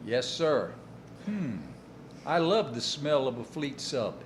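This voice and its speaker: An actor playing an eager, confident naval officer, respectful and folksy, in a wartime drama. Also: male voice, medium pitch, sing-song, takes it slowly. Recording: faint background noise.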